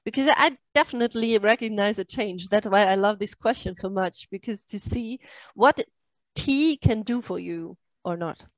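A middle-aged woman hosting a casual interview in halting, English. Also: medium pitch, no echo, low-quality recording. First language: German. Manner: halting phrasing in non-native English. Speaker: host interviewing a guest.

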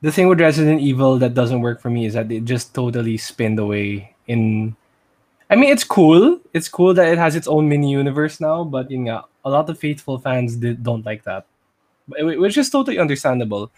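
Filipino man co-hosting casually, medium-pitched. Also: sing-song, a little fast, a little mellow. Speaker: podcast co-host. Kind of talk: casual chat about movies.

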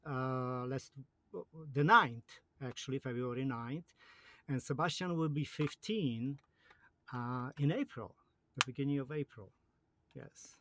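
A man from Poland in his fifties with a Polish accent, a guest in a casual interview who speaks in a halting way with many fillers and restarts. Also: medium pitch, fairly bright tone, no room sound.

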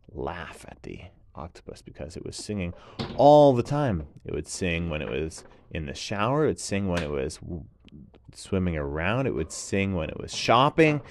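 A man narrating a children's bedtime story in a gentle, drawn-out way with repeated phrases. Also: sits low, a little hiss or hum.

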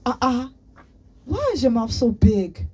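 A woman voicing a stern, exasperated young boy in a story, forceful and scolding, slightly distant from the microphone. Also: sits high, fairly even intonation, fairly quick, voice pushed up. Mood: irritated, shouting.

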